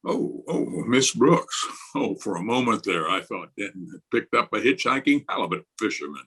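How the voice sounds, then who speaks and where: An actor playing a pompous, irritable middle-aged high school principal with grandiloquent, blustering delivery in a radio comedy. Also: male voice, expressive, moderate pace, a bit bright, breathy edge. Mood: gruff, impatient. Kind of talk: old-time radio comedy acting. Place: remote recording over video call.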